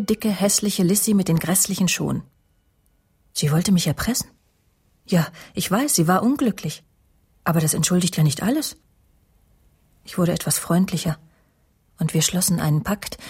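A woman's voice: fairly low pitch, moderate pace, long pauses, a little mellow, slightly rough, no echo, clean studio sound. An actress playing a cold, scheming friend in a radio crime drama, sardonic and matter-of-fact as she speaks and narrates. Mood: cool, self-justifying. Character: cold, scheming woman, spiteful friend, narrating her own deeds.

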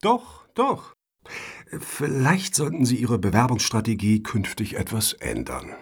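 A man's voice: mid-range voice, huge pitch swings, measured pace, breathy edge, heavily acted. A man acting in a radio satire as a pedantic, suspicious foundation juror, officious and wordy.

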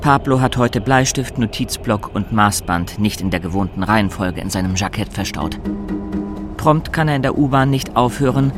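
A man's voice: lowish voice, moderate pace. A man reading scripted dramatic narration for a radio play. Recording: studio narration over clear music. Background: music.

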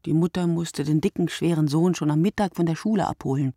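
A woman playing a brooding, solitary writer in a radio play monologue, speaking deliberately and poetically, often dropping to a breathy hush. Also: low voice, no echo, studio quality. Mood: hushed, reflective. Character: wakeful solitary night writer, a woman, brooding and word-obsessed.

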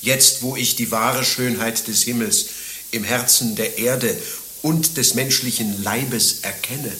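A man's voice: medium pitch, takes it slowly, bright, forceful tone, echoey room, a little hiss or hum. An actor playing a lovesick, naive middle-aged former monastic in a German radio play, declaiming his confessions with rhapsodic anguish. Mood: rapturous.

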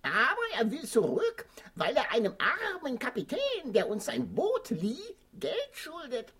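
High, swooping know-it-all parrot. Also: female voice, wildly swooping pitch, unhurried, a bit bright, husky, exaggerated voice. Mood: earnest. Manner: didactic, theatrical, sing-song rhyming. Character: clever, lecturing female parrot, animated storybook companion. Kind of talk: children's radio play acting.

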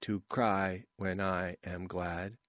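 A man voicing a reluctant young woman who recites romantic stage lines softly and woodenly in an audiobook. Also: fairly low pitch, no room sound, studio quality. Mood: wooden, subdued. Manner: slow, soft, wooden delivery.

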